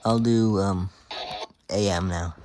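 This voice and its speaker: A man co-hosting a casual, hands-on gadget review in a halting, tentative, informal way. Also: deep voice, fairly even intonation, darkish tone. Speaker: radio reviewer, co-host. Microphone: phone filming close by. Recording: noisy amateur phone recording with static.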